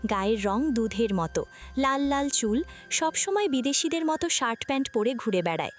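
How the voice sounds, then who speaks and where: A woman's voice: on the high side, animated, moderate pace, faint music behind. A woman reading scripted narration for a romantic family audio story.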